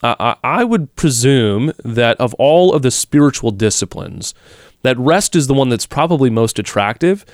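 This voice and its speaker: A male podcast host speaks in a relaxed, conversational way and thinks aloud as he frames his questions. His voice is at a middle pitch and the recording is close and dry, with no room sound. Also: normal speed, no echo. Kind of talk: interview on faith.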